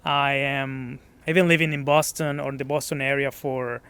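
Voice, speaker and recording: A man's voice: medium pitch, little pitch movement, moderate pace, bright, forceful tone, smooth, dry recording. A man from Mexico with a Mexican accent, speaking English as a second language in a casual gym interview, modest and searching for words. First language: Spanish. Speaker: gym member being interviewed.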